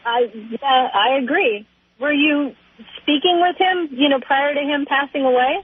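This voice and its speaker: A woman's voice: high-pitched, a little slow, no echo. A woman calling in to a radio show by telephone, chatting casually and agreeably. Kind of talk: casual phone-in conversation. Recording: tinny phone line on radio.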